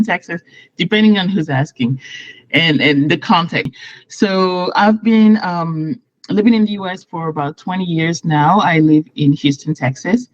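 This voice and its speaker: A woman's voice: deep voice, dry recording. This is a woman in her thirties from Morocco, speaking as a guest over a video call. Her voice comes through a little dull and lo-fi, very dry with no room sound. She talks at length, circling back and restarting her sentences as she explains.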